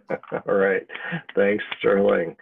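Man in his 50s, easygoing host, gravelly and husky, tinny call audio. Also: on the low side, flat delivery, extremely slow, no room sound. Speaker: meeting host. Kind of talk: introducing speakers. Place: office cubicle, indoors. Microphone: headset on a video call. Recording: tinny phone-like video call line.

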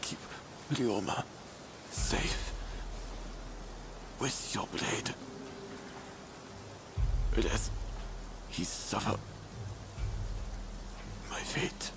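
Dying swordsman, gasping very slowly. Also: fairly low pitch, expressive, noisy background, full character voice. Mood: weak pleading. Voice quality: breathy, whispery, gravelly. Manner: halting, broken phrases. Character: dying, remorseful swordsman assassin, weary and pleading. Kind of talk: dramatic video game acting.

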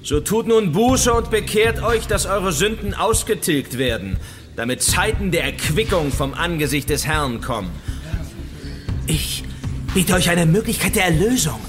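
A taunting villain in a radio thriller. His voice sits fairly high and swings widely as he lectures with theatrical, mock-pedantic relish. Music plays clearly underneath. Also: lively intonation, unhurried. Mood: taunting, preachy. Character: taunting, theatrical villain preaching guilt and redemption. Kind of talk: German radio crime drama acting.

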